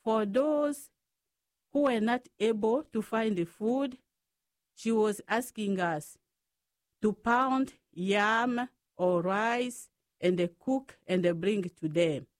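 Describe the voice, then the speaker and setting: Slow-spoken woman with a Congolese accent. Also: fairly high pitch, no room sound. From Democratic Republic of the Congo. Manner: halting phrases in non-native English grammar. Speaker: guest, Franciscan sister. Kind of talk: personal storytelling in an interview.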